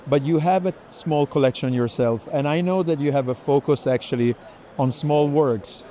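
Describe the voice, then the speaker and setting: A man's voice: fairly high pitch, normal speed, warm tone. A man hosting a walking art conversation, explaining things in a discursive way. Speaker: curator hosting a walking tour. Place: busy art fair. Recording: clear urban ambient noise.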